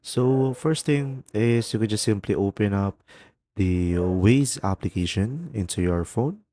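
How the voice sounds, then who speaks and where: A man narrates a step-by-step tutorial in a casual style. His voice sits on the low side, with a dark, relaxed tone. The sound is very dry, with no room around it. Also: unhurried, dry recording. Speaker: tutorial host.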